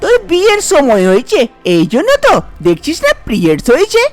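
A harsh, impatient village stepmother, played by a woman in a cartoon tale. She nags and orders in a bossy way, her pitch swinging widely, over faint music. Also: wildly swooping pitch, brisk. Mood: dismissive. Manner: nagging, bossy, dismissive. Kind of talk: cartoon story voice acting.

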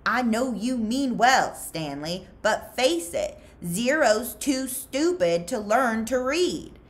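A woman's voice: on the high side, animated, slow, pitched above the performer's own voice. A woman voicing a mocking, scornful male camp counselor in a storybook, sneering and emphatic.

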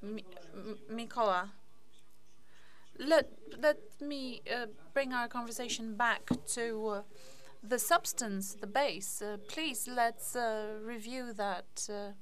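A woman's voice: on the high side, takes it slowly. A woman chairing a panel discussion, politely steering the conversation back on track in a large conference room. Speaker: panel moderator.

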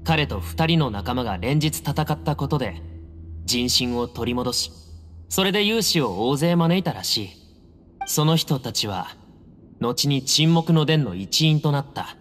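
Self-assured young heir, swooping and echoey. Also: male voice, mid-range voice, lively intonation, a little slow, fairly bright tone, a little hiss or hum. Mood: solemn. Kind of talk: Japanese anime game voice acting. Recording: reverberant hall, music underneath.

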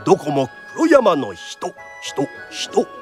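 A man's voice: on the high side, wildly swooping pitch, slow, soft background music, a faint crowd. A man narrating a radio drama as a theatrical storyteller with polite phrasing.

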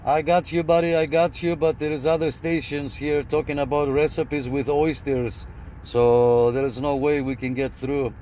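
A man's voice: a little high, flat delivery, normal speed. A man making casual, courteous CB radio calls from inside a vehicle, often repeating his phrases. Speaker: CB radio operator. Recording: noisy vehicle cabin, radio static behind.

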